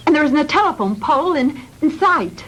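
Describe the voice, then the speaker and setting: An actress playing a frightened, bewildered, high-strung young woman in a 1960s low-budget film. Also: animated, brisk, fairly bright tone, lo-fi sound. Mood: rising hysteria. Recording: noisy old 1960s film soundtrack.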